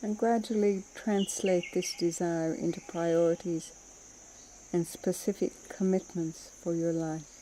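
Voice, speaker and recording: A woman meditation teacher leading a guided mindfulness practice in a soothing, unhurried manner, with gentle pauses between phrases. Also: fairly low pitch, steady tone, slight background noise.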